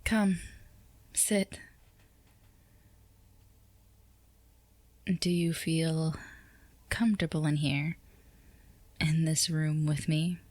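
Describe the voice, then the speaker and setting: Woman playing female boss, low pitch, flirty, composed, formal, unhurried teasing delivery, ASMR roleplay, dry sound. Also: steady tone, a little mellow, no room sound. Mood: flirty, inviting. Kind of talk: scripted ASMR roleplay addressed to the listener.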